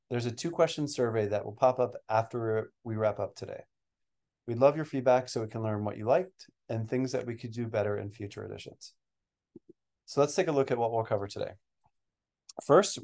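A man hosts a webinar, introducing the session and putting questions to a guest. His voice sits at an average pitch for a man. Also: darkish tone. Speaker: webinar host. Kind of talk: presenting and interviewing in a webinar.

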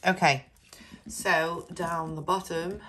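Woman with a stuffy cold, low-ish voice, unhurried chatty reading of numbers and letters. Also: fairly even intonation, fairly bright tone, breathy edge. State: congested with a cold. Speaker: scratch card vlogger. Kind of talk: casual narration over scratch card video.

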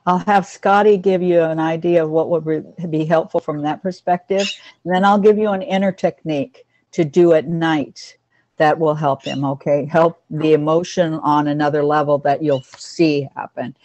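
A woman's voice: sits low, fairly even intonation, normal speed, darkish tone, no echo, muffled recording. A woman teaching an informal online class about pets, talking in a reassuring, instructive way to the group. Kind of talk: casual group video-call class.

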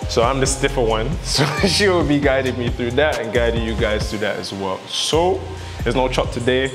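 A young man talks through a glute workout in a home gym, joking around and cueing each move as he goes. His voice sits at a middle pitch for a man, and music plays underneath. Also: moderate pace. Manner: joking, upbeat, coaching as he exercises. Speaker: fitness vlog host. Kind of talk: casual workout vlog chat.

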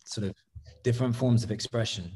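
An English man in his thirties, a guest artist, talking casually over a video call from his home studio, thoughtful, with many pauses and restarts. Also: mid-range voice, fairly even intonation, darkish tone. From England. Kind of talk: casual interview chat. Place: home studio room, indoors. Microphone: laptop webcam video call.

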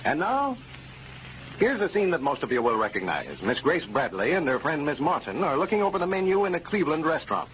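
A man's voice: a little high, very animated, fairly quick, a bit bright. A man with an American accent reading a script as an old-time radio announcer. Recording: muffled, noisy old radio recording.

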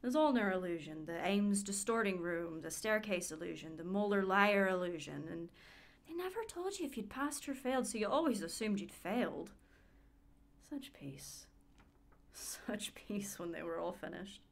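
Radio play, weary woman recovering her sight: candid, wry, confiding monologue, mid-pitched. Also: sing-song, normal speed, gravelly. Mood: exasperated.

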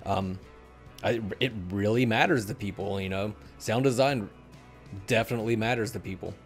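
A man's voice: lowish voice, normal speed, faint music behind. A middle-aged man hosting a podcast in casual, conversational chat on a headset mic. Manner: appreciative, conversational. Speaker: podcast host. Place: small room at home.

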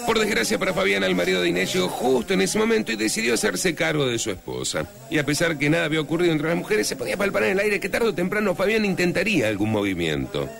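A man's voice: high-pitched, very animated, quick pace, vocal fry, fairly bright tone, full character voice. A man playing a florid, mock-solemn narrator of a comic radio serial. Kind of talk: dramatic scripted narration.

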